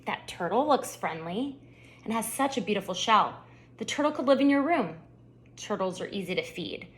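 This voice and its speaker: A woman voicing a kind, encouraging mother in a children's storybook, with a gentle, reassuring manner. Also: mid-range voice, lively intonation, normal speed, a little hiss or hum, natural voice. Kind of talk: children's storybook read-aloud.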